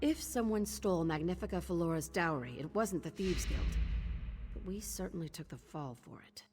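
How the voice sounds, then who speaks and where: A woman's voice: lowish voice, moderate pace, noisy background, lightly acted. An actress playing a weary, guilt-ridden woman who leads a thieves' guild in a video game, speaking in an earnest, terse, commanding way. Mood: brooding, resolute. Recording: long reverb, music behind.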